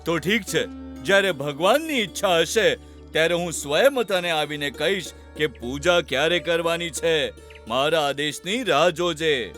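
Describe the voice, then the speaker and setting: Man playing a pompous, high-voiced fake holy man. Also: lively intonation, fairly bright tone, faint music behind. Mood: pompous, commanding. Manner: grandiose, slow chanting and commanding. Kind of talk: children's cartoon voice acting.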